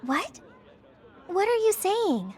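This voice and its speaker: Very high-pitched, expressive young game heroine. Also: female voice, slow, some chatter behind, exaggerated voice. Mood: dismayed. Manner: expressive, pleading. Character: earnest, emotional young woman, loyal childhood friend. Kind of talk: video game voice acting.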